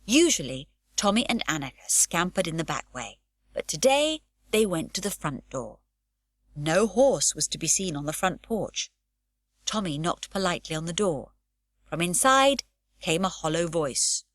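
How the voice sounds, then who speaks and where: A woman reading an unabridged children's audiobook aloud with an expressive storytelling lilt, recorded in a studio. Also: on the low side, moderate pace, no echo. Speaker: audiobook narrator.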